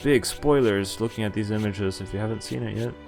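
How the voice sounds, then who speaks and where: A man giving casual, halting livestream commentary as a game streamer, often trailing off mid-thought. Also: on the low side, gravelly, darkish tone, soft background music.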